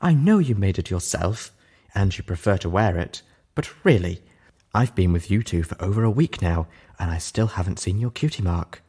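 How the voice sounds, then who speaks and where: A man's voice: deep voice, expressive, moderate pace, mellow, husky. Man putting on a prim, bossy lady pony. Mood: sardonic. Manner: refined, scolding, precise wording. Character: prim, bossy, sardonic female unicorn pony medic.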